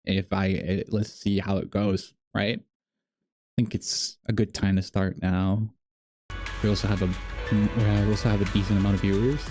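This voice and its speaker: Male game streamer, lowish pitch, unhurried casual rambling, faint music underneath. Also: level tone, dark tone, no echo. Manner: rambling, repeating words as he thinks aloud. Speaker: live streamer.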